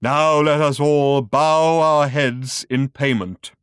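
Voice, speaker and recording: A man voicing a bombastic showman orator from a galactic spectacle in a science fiction audiobook, grandiose, drawn-out and sentimental, with a thunderous delivery. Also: a little high, steady tone, clean tone, no echo, studio quality, pitched above the performer's own voice. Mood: solemn sales pitch.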